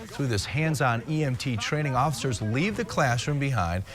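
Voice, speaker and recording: A man's voice: mid-range voice, animated, normal speed, crowd noise. An American man reading scripted stories as a television news anchor.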